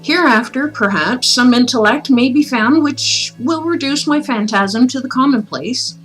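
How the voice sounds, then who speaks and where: A woman's voice: high-pitched, fairly bright tone, voice pushed up, rough recording. Haunted gothic confessor, read by a woman, dramatic. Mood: haunted, solemn. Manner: solemn, dramatic, deliberate storybook delivery. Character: condemned, haunted narrator of gothic horror confession. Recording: music playing behind the voice.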